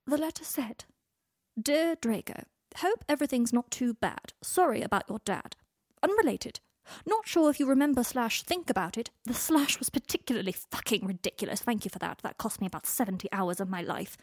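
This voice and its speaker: A proud young man's voice, cold and cutting, bursting into high, near-cracking yells of fury, as a woman reading aloud puts him on. He is pitched well up and loud, heard close and dry. The scorn never leaves his tone. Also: animated, a little fast, no echo. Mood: seething.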